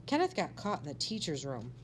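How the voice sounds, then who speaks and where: A woman's voice: low voice, wildly swooping pitch, a little fast, a little hiss or hum. A woman voicing a bossy, scheming schoolgirl in a storybook, telling tall tales in an insistent, conspiratorial way. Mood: dark, menacing.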